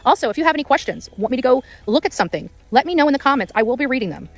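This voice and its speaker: A woman's voice: high voice, expressive, rapid-fire, no echo. A woman doing unscripted vlog-style reporting outdoors, often repeating her phrases. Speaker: amateur on-site reporter. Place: outdoors in quiet rural area.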